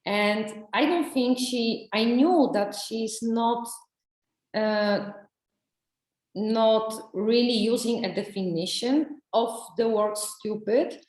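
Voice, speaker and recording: A woman telling her story in an interview, halting and searching for words, with many restarts. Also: fairly high pitch, a little mellow, roomy sound, low-quality recording. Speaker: coaching client. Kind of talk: testimonial interview.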